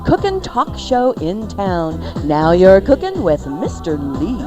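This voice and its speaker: A woman's voice: mid-range voice, huge pitch swings, slow, music in the background. A woman announcer giving an upbeat commercial read for radio.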